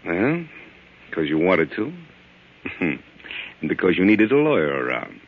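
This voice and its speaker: Slick young mouthpiece lawyer: lowish, very animated, defensive, dull vintage radio sound. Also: male voice, husky, full character voice. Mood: evasive. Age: young adult. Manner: brisk, defensive, wisecracking. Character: cocky, evasive young male mob lawyer. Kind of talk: 1940s radio drama acting. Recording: dull lo-fi old radio recording.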